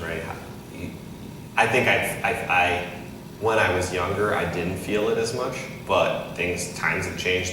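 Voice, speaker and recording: A man in his thirties, an entrepreneur and investor, answering interview questions in a loose conversational way, often adding "right?" as he talks. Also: low-pitched. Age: 30s. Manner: conversational, many restarts and "right?" tags. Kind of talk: casual live interview on stage. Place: event hall with audience. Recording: echoey hall, far from the mic, noisy.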